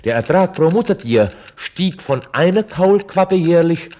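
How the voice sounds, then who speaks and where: An actor playing a chronicler in a radio drama, explaining things formally like a documentary reporter. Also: male voice, on the high side, animated, measured pace, a little mellow. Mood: explanatory, formal. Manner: explanatory, formal, theatrically varied delivery. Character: male chronicler presenting a mock documentary report. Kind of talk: scripted radio drama narration.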